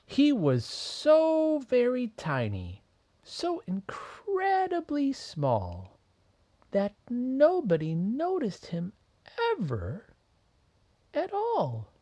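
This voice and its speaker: A man narrates a children's picture book as a bedtime story. His delivery is very slow and dramatic, swinging widely in pitch and dipping into hushed tones, with a husky edge to the voice. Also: huge pitch swings. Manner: dramatic, with drawn-out phrasing and hushed dips. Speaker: father reading a bedtime story.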